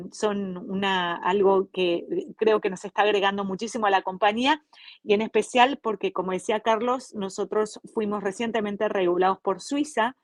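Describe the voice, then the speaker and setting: A middle-aged woman with an Argentine Spanish accent speaks fluently and without fuss, like a co-founder walking someone through how her company works. Her voice is close and dry, and it sits at an ordinary pitch for a woman. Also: dry recording. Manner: fluent, businesslike storytelling with brief fillers. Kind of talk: online interview.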